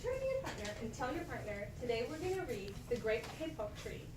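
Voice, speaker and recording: A woman teacher doing an expressive read-aloud for her class, stopping to give them prompts. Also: fairly high pitch, brisk, background noise. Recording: echoey classroom from far off.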